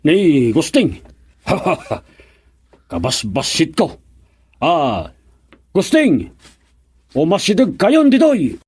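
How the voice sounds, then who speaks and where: A man acting an eager rustic villager in an Ilocano radio comedy drama, with an Ilocano accent and animated, drawn-out calls. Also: a little high, slight background noise, heavily acted.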